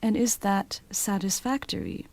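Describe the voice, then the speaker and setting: A woman interpreting a meeting speech simultaneously, relaying it at a measured, steady pace. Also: medium pitch, gravelly.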